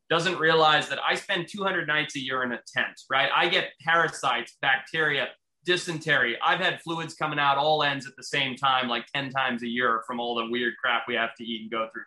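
Man, guest biologist, very bright voice, energetic, swears freely, roomy echo. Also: a little high. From Zimbabwe. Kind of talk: casual podcast chat.

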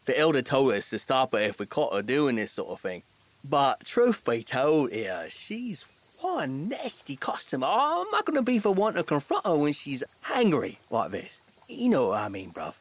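A man's voice: mid-range voice, huge pitch swings, normal speed, slight background noise. A man playing a laid-back, cowardly blue selkie in a fantasy comedy audio drama, slangy and hesitant. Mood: nervous, evasive. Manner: slangy, hesitant, says bruv.

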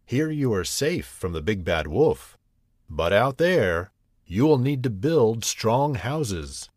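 A man voicing a protective mother pig in a storybook, speaking slowly and gently. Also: mid-range voice, very animated, no echo, clean studio sound. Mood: cautioning.

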